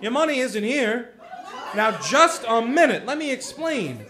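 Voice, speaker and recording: A man's voice: very high-pitched, sing-song, measured pace. A man playing an earnest young loan-office manager pleading with a panicked crowd in a community radio play.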